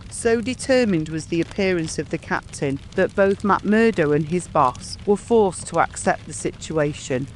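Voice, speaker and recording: Higher-pitched male audiobook narrator. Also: expressive, unhurried, few pauses, low-quality recording. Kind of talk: scripted literary narration. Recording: clear background ambience noise.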